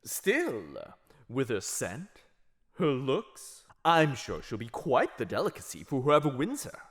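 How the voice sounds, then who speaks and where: A man's voice: mid-range voice, huge pitch swings, unhurried. A man playing a suave, playful vampire auctioneer in an audio roleplay, with showy, courteous patter. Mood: showy, teasing.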